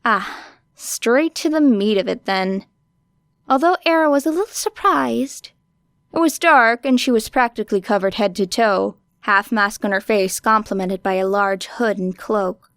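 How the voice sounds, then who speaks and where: A woman narrates fiction expressively, as for an audiobook. Her delivery is slow and deliberate, with a lot of vocal fry, in a very dry recording. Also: mid-range voice, fairly bright tone, no room sound. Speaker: audiobook reader.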